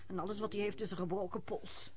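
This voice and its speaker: An actress playing a strict, fretful middle-aged mother in a radio drama, with a husky voice. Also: mid-range voice, fairly even intonation, fast, a little mellow, slight background noise, natural voice. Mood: irritated.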